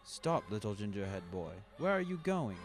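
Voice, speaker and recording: A man voicing a butcher who appears to a scared child as a monster made of meat, calling out loudly. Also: fairly low pitch, sing-song, moderate pace, over music.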